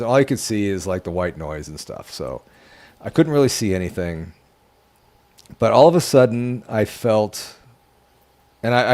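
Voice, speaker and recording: Man with a lowish voice, earnest and halting, casual podcast storyteller. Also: darkish tone. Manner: earnest, emotional, halting retelling. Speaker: podcast co-host.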